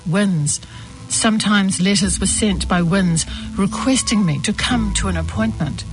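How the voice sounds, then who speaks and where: A woman reading a personal first-person account as a calm, earnest scripted narration. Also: mid-range voice, low-quality recording. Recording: voice-over with music behind.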